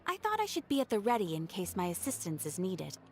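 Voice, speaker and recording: A woman's voice: mid-range voice, huge pitch swings, quick pace, a crowd in the background. A woman voicing a composed, formal receptionist who speaks courteously.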